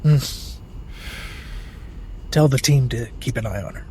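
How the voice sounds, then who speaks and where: A man playing a cold, powerful boss in a cinematic audio drama, clipped, deliberate and quietly menacing. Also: mid-range voice, a little hiss or hum, lightly acted. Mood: cold, commanding. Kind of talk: cinematic radio drama acting.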